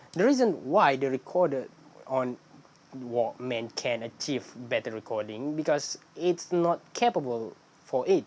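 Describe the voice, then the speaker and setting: Low-voiced woman explaining casually. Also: darkish tone. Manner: non-native English with loose grammar. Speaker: video host.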